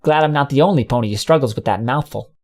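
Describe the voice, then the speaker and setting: A man's voice: medium pitch, quick pace, a little mellow, lightly acted. A man putting on a brash folksy pony mare. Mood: blunt teasing. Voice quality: folksy, blunt, teasing drawl.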